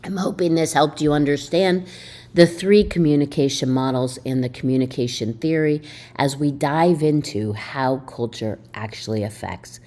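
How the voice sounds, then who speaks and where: A woman's voice: low-pitched, normal speed. A woman instructor giving a recorded video lecture in an emphatic way, asking her students questions as she explains. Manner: animated, emphatic, asks the listener questions. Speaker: college instructor.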